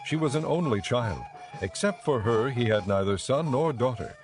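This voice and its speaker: A man giving scripted, measured and solemn narration for a dramatized audio Bible. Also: mid-range voice, lively intonation. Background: background music.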